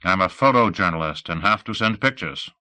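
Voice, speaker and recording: A man's voice: low-pitched, expressive, fairly quick, bright, forceful tone, clean tone, no echo, heavily acted. A man voicing a small, cocky, still-growing photojournalist in an audiobook, with a dry, terse manner. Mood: dry, businesslike.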